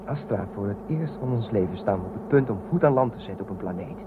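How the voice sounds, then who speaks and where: An actor playing an eager, impatient shuttle pilot, bossy but teasing, in a science fiction radio drama. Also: male voice, on the low side, lively intonation, quick pace, natural voice. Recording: thin phone-like band, clearly noisy.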